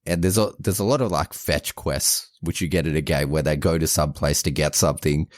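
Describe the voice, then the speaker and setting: Very deep-voiced man chatting casually. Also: fairly even intonation, fairly quick, dry recording, clean studio sound. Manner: informal, rambling, frequent "like". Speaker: podcast co-host. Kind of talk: casual movie review chat.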